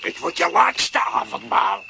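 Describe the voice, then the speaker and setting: Cast: sneering poacher villain, high gravelly voice, noise behind him. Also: male voice, a little slow, full character voice. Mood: menacing. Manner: menacing and mocking. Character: gruff scheming male poacher villain.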